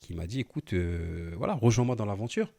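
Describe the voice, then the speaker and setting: A man in his thirties with a very low, deep voice, born in Portugal and raised in France. He co-hosts a podcast and tells his story in a loose, familiar chat, often stopping to check that the listener is following. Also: a little fast, creaky. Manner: relaxed, familiar, frequent fillers and repeated "tu vois". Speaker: podcast co-host, entrepreneur. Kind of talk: casual conversational storytelling.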